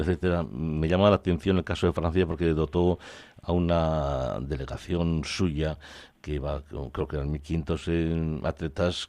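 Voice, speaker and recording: A man's voice: low-pitched, darkish tone, dry recording. A man with an accent from Spain asking questions conversationally as a radio interviewer.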